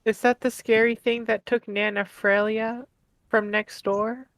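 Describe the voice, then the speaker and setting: Timid, innocent fantasy game kid, voiced by a woman, high voice, close dry recording. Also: flat delivery, unhurried, no echo. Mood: timid, curious. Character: timid, innocent young child, curious and scared.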